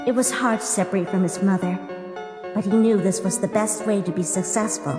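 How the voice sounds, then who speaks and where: A woman's voice: medium pitch, fairly even intonation, fairly quick, close to an everyday voice. A woman playing a solemn, earnest, fiercely protective young female warrior in a dramatic comic dub. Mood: solemn, devoted. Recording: echoey, long reverb over loud music.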